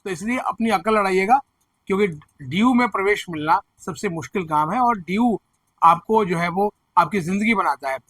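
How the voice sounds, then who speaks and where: A man with a hoarse throat presenting education news, explaining admission figures and earnestly reassuring students and parents. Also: sits high, moderate pace, fairly bright tone, dry recording. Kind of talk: direct-to-camera advisory commentary.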